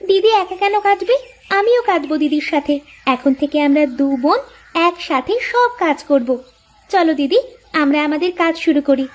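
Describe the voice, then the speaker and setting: A woman's voice: sits high, animated, normal speed. A woman voicing a devoted, eager younger co-wife in a village cartoon, with a pleading, girlish, deferential manner. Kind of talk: cartoon voice acting.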